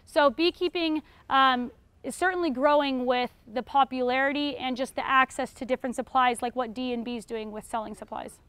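A woman's voice: high voice, fairly even intonation, a little fast, a bit bright, clean tone, no room sound. A young adult woman with an American accent, explaining things informally as a class instructor answering questions. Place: retail store aisle in front of a seated audience.

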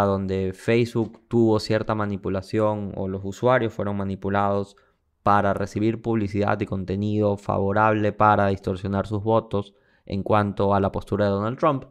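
A man co-hosting a podcast, explaining events in measured narration. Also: lowish voice, clear, resonant tone. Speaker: podcast co-host.

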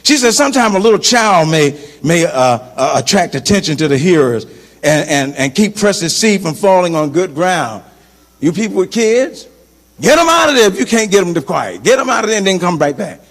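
High-voiced preacher in a reverberant church. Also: male voice, moderate pace. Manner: animated, swings from loud to soft, gestures while preaching. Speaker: pastor. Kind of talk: sermon. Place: large church sanctuary, indoors. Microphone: handheld microphone.

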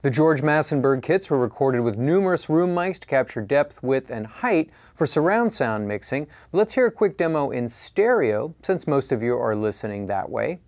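A man in his forties giving a scripted product review in a measured, clearly articulated presenter style. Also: mid-range voice, sing-song, darkish tone.

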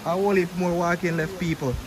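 A man's voice: high-pitched, little pitch movement, a crowd in the background, poor audio. A man with a Jamaican accent, chatting casually to viewers while hiking down to a waterfall and filming on a handheld camera. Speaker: vlogger. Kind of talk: casual travel vlog narration. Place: outdoors at a river waterfall. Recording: loud waterfall and outdoor noise. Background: rushing water, insects.

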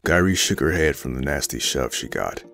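A man narrates an audio drama in an extremely low voice. His delivery is full of vocal fry, and music plays clearly behind the scripted prose. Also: big pitch swings, normal speed. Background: loud background music.

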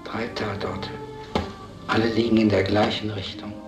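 An actor playing a brooding, methodical police investigator, musing over a case. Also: male voice, fairly low pitch, flat delivery, normal speed, natural voice. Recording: echoey soundtrack with music underneath.

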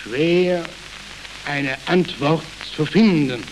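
A man's voice: on the high side, drawn-out words. A man reading the part of an old, worn-out, sceptical general in a stage play, with a hesitant, weighty manner. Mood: hesitant, grave. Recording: noisy, crackling historical archive recording.

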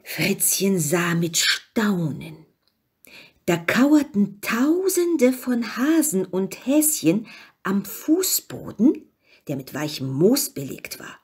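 A woman reading a fairy tale as scripted narration, expressive and with dramatic emphasis. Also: mid-range voice, slow, breathy edge. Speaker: story reader.

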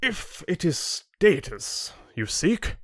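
Man playing a pompous, sneering chieftain, pitched up. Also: sing-song, takes it slowly, no room sound, studio quality, heavily acted. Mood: scornful, dismissive. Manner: pompous, dismissive, theatrical. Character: arrogant, sneering, gluttonous older male chieftain of fantasy kingdom. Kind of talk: audiobook character acting.

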